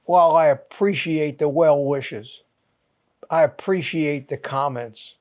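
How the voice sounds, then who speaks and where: A man with a mild head cold rants at his viewers. He speaks in a fairly high voice and takes his time, hammering each point home in short, exasperated bursts, with a curse word now and then for emphasis. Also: darkish tone. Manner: emphatic, exasperated, punchy short phrases with pauses; swears for emphasis. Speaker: channel host. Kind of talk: ranting monologue to viewers.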